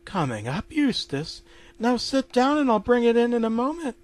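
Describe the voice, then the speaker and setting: A man voicing a gentle, soft-spoken old woman, a cartoon homemaker. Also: very high-pitched, sing-song, normal speed, no echo, voice pushed up.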